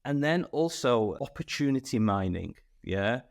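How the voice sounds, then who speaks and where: A man's voice: medium pitch, a little slow, vocal fry. A man who owns a dental practice, chatting on a podcast over a video call. He tells stories with energy and often ends points with "right?". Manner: animated storyteller, tag questions. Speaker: practice owner. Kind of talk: casual podcast conversation.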